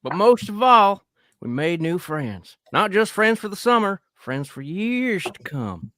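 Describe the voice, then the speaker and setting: A man voicing an earnest, corny male camp director making a drawn-out speech in a cartoonish voice, in a live script read. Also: sits high, sing-song, a bit bright, exaggerated voice. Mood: cheery, nostalgic.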